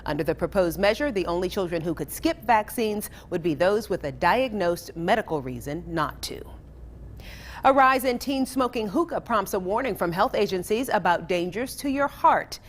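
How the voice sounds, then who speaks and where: A woman's voice: medium pitch, slight background noise. An American woman hosting a news show, reading scripted items as a presenter.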